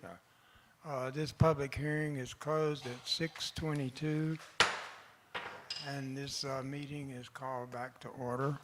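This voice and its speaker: A man's voice: medium pitch, level tone, noisy background. Folksy Texan man chairing a meeting. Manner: plain, folksy, halting. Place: council chamber.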